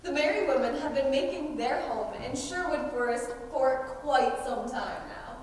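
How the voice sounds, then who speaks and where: Bossy, mocking outlaw heroine, played by a young woman, high voice, theatrical stage delivery, recorded far off in a hall. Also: a little slow. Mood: proud, scornful. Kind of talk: high school stage comedy acting. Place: school auditorium stage. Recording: echoey hall, distant mic, noisy.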